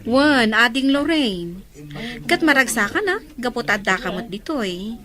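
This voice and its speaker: A woman's voice: a little high, very animated, measured pace, crowd noise. A woman playing a friendly older-sister colleague in an Ilocano audio drama.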